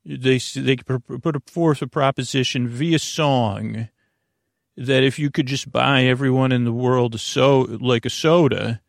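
A middle-aged American man with a gravelly voice hosting a sleep podcast, rambling haltingly, repeating himself and trailing off mid-thought. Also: medium pitch, steady tone, no echo, studio quality. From United States. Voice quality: gravelly, creaky. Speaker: podcast host.

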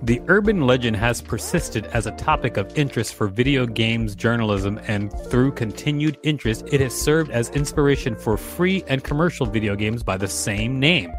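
A man's voice: medium pitch, lively intonation, moderate pace, few pauses, music in the background. Male podcast co-host reading and bantering. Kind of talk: article reading with casual banter.

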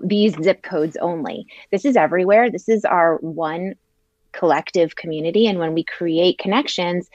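A woman in her thirties, a nonprofit leader, answering interview questions in an articulate, measured way. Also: lowish voice, a little mellow, no echo, dull audio. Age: 30s.

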